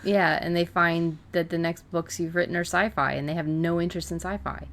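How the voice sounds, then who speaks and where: A woman with a somewhat low voice hosts a podcast. She speaks in a plain, conversational style. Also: fairly even intonation, brisk, fairly bright tone. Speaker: podcast host. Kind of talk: scripted introduction and casual co-host chat.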